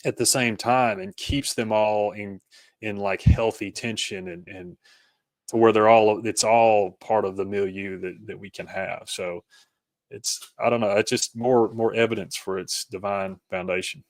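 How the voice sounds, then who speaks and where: A man with a slightly low voice talks as a guest in a reflective interview. The sound is close and dry, and he feels his way haltingly toward each phrase, often starting it again. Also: steady tone, dry recording. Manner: halting, searching for words, repeats phrase starts. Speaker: podcast guest, author.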